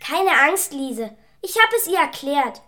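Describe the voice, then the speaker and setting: A woman's voice: sits high, sing-song, a little slow, background noise. An eerie little girl. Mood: insistent. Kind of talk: radio play acting. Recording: radio play with music behind.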